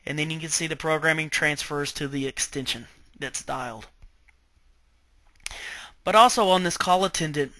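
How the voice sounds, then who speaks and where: Bright-voiced man giving software training. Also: on the high side, dry recording. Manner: step-by-step explaining, checking in with okay. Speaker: IT trainer presenting software tutorial. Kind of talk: informal instructional screen-share walkthrough.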